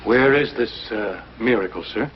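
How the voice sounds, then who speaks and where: Man playing deadpan colonel, mid-century TV drama; lowish, quick, respectful, muffled old soundtrack. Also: lightly acted. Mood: dry, obliging. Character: dry, obliging male army colonel. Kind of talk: mid-century American television drama acting. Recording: dull, noisy vintage TV soundtrack.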